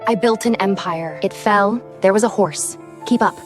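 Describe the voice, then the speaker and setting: A woman playing a proud, spoiled young princess in an animated fairy tale, with a haughty, dryly sarcastic delivery. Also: mid-range voice, moderate pace, close to an everyday voice. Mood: exasperated. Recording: music behind the voice.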